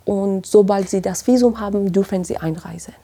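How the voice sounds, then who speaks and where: A woman's voice: mid-range voice, normal speed, dark tone, husky. A woman from Iran, heads a language school, answering interview questions hesitantly with many fillers. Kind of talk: podcast interview.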